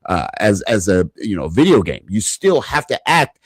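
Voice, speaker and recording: A man's voice: lowish voice, sing-song, moderate pace, husky, dry recording. Emphatic co-host man, dry sound. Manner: emphatic, repetitive restarts. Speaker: podcast co-host. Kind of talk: casual interview chat.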